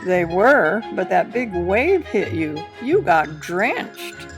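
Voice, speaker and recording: Female reader as excitable boy pelican, expressive storybook dialogue, fond reminiscing, faint music behind. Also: on the low side, slow, full character voice. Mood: teasing. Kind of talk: scripted children's picture book read-aloud dialogue.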